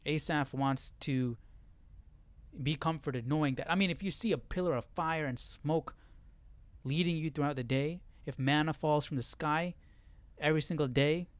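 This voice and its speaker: A man's voice: a little high, moderate pace, dry recording. A man hosting a livestream, talking through scripture informally and checking in with rhetorical questions. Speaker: host of a Bible study livestream. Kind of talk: casual conversational Bible commentary to viewers.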